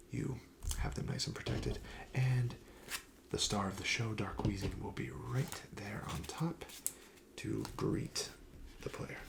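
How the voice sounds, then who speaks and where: Soft-spoken, low, creaky man doing ASMR. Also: noisy background. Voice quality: soft, breathy, often near whisper. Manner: gentle, attentive, polite salesman patter. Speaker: ASMR roleplayer playing a card shop clerk.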